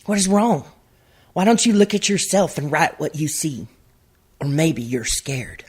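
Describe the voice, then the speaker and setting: A woman plays an earnest young female FBI agent in a radio comedy parody. Her voice sits fairly low as she probes and challenges. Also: expressive, a little slow, darkish tone, slightly rough, full character voice. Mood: challenging. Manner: probing, confrontational.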